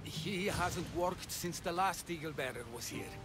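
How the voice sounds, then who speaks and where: An actor playing the blunt, sardonic, exasperated leader of a threatened village in ancient Greece, in a video game cutscene. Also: male voice, a little high, a little slow, over music, natural voice. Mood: sardonic, pragmatic.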